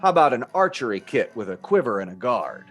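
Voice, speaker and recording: Lively, folksy Western shopkeeper. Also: male voice, mid-range voice, fast. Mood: salesman-like. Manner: folksy, obliging drawl. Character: folksy, friendly cowboy-style leather shopkeeper. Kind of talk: comic radio ad sketch. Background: plucked guitar music.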